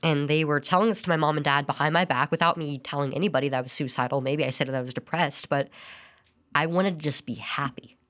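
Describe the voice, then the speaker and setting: A woman's voice: low-pitched, steady tone, fast, a bit bright. A young adult woman telling her personal story as a podcast guest, earnest and often restarting her sentences. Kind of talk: personal testimony in a podcast interview.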